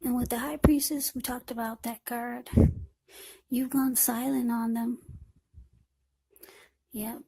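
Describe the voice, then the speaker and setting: A woman with a fairly high, very husky and breathy voice gives an informal tarot reading. Her tone is mellow and soft-edged. She talks straight to the viewer in short, broken phrases, repeating words as she goes. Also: fairly even intonation, takes it slowly, long pauses. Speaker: tarot card reader.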